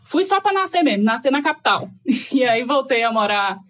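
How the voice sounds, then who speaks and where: A woman's voice: sits high, big pitch swings, moderate pace. A woman from Goiás, Brazil, with a Goiás accent, telling her story in a casual, reflective conversation full of fillers. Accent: Goiás Brazilian Portuguese. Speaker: guest. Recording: dull lo-fi audio.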